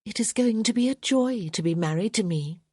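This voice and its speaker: A proud, sharp-tongued young noblewoman, put on by a woman reading an audiobook, raised well above the reader's own voice. Her tone is husky and clipped, and every line comes out haughty and cutting. The sound is close and dry. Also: mid-range voice, lively intonation, moderate pace, dry recording, voice pushed up. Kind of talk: audiobook character voice.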